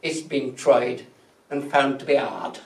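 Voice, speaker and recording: A man preaching a reflective sermon from notes, speaking in a measured, deliberate way with emphatic phrases that trail off softly. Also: mid-range voice, creaky. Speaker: preacher, church minister. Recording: echoey room, distant microphone.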